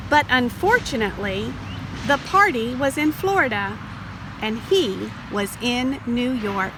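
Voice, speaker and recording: A woman reads a storybook aloud for young children in a fairly high voice. Her pace is very slow and explanatory, with expressive weight on key words. A little noise sits behind her. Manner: explanatory, addressing young listeners directly. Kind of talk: read-aloud picture book narration.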